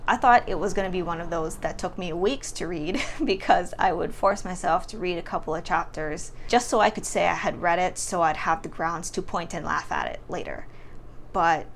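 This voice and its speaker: A woman giving a casual, expressive book review to camera in an informal, emphatic storytelling way. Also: lowish voice, normal speed, slight background noise.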